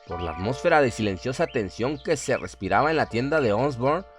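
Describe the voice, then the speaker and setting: A man's voice: mid-range voice, expressive, a little fast, soft background music. A man narrating a scripted horror story in a solemn, dramatic storytelling manner.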